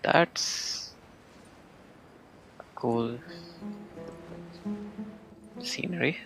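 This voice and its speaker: Man, live game streamer, slow, gravelly, muttered near-whispered asides, faint game music. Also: medium pitch, sing-song, low-quality recording.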